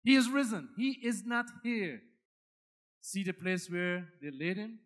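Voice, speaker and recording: A man's voice: high-pitched, animated, a little slow. A man with a Guyanese accent preaching a sermon as a pastor, emphatic and dramatic, repeating phrases for effect.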